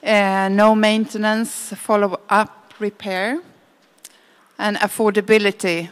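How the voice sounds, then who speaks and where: A woman giving an impromptu summary to a room, halting as she goes item by item. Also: mid-range voice, flat delivery, clear, resonant tone. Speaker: government agency unit head. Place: live event room.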